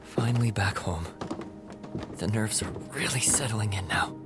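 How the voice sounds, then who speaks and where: An actor playing an obsessive, volatile male captor who swings from soft murmurs to screaming rage. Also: fairly low pitch, unhurried, loud music behind the voice, lightly acted. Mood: tender. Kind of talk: horror monologue acting.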